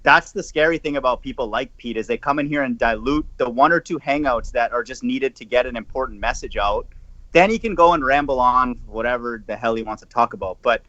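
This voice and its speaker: A man's voice: mid-range voice, fairly bright tone, dry recording. A man on an online panel who talks emphatically and agrees often. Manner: emphatic, quick to agree and laugh. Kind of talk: casual panel chat. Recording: dull lo-fi stream audio.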